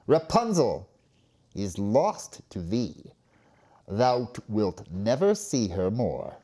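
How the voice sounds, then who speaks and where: Slow, venomous old enchantress. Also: male voice, on the low side, huge pitch swings, mellow, full character voice. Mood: mocking, gloating. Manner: mocking, drawn-out, theatrical. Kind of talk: storybook fairy tale character.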